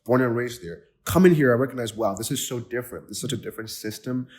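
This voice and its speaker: A man's voice: mid-range voice, fast talker, a little mellow. A man from Nigeria hosting a podcast interview, talking with enthusiasm and lots of fillers and restarts. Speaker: podcast host. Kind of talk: casual interview chat.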